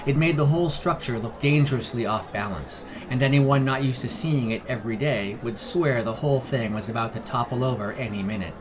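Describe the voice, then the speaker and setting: A man's voice: medium pitch, a little fast, few pauses, background noise. A man reading scripted fantasy story narration as the narrator of a fiction podcast. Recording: music behind the voice. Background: background music.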